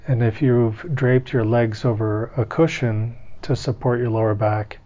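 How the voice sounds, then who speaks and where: A man leading a guided meditation in a calm, gentle, unhurried manner, with long pauses between instructions. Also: medium pitch, highs cut off.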